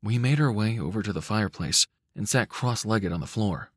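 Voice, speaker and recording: A man giving a measured, cinematic, dramatic narration of a dark short story. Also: on the low side, animated, dry recording, clean studio sound. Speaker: audiobook-style story narrator.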